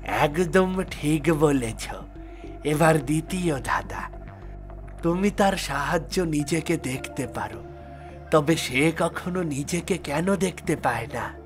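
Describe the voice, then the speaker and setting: A man voicing a mysterious dwarf guardian in a fairy-tale cartoon, measured and deliberate. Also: a little high, soft background music. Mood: testing. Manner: measured, deliberate riddle-posing. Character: mysterious male dwarf guarding a magic sword, testing riddler.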